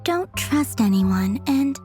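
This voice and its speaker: A woman playing a serene, naive, otherworldly young woman in a video game, speaking in a gentle, artless way. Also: high-pitched, animated, slow pace, faint music behind. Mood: calm, innocent. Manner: gentle, artless, matter-of-fact.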